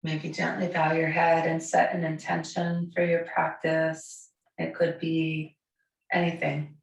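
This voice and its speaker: A hoarse woman in her forties with a raspy voice from a cold, gently guiding a yoga class with soft, soothing instructions. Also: deep voice, monotone. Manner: slow, soothing, softly encouraging. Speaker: yoga teacher. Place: bright home studio room with large windows. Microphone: camera across the room. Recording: echoey room, voice from far off.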